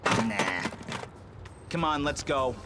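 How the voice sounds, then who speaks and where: An actor playing an offhand, loyal teenage mutant turtle brother in a video game, casual and wry, with loud music over him. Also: male voice, mid-range voice, lively intonation, drawn-out words. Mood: loyal, relaxed. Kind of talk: scripted video game cartoon acting.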